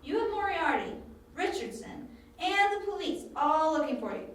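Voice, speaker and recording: A woman's voice: sits high, very animated, normal speed. A woman acting a sassy, street-smart young woman in an amateur audio drama, sardonic and flippant. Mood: flippant, bargaining. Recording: distant, very echoey room, faint noise.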